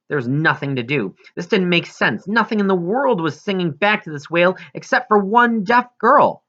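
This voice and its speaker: A man's voice: mid-range voice, pushed and bright, highs cut off. A man reads a children's novel aloud as scripted audiobook narration. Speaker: audiobook reader.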